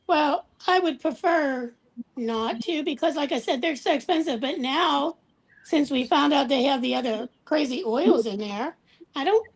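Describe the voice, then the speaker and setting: A woman's voice: sits high, expressive, unhurried, low-quality recording. A woman in her sixties, a co-host chatting casually and agreeably on a livestream. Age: 60s.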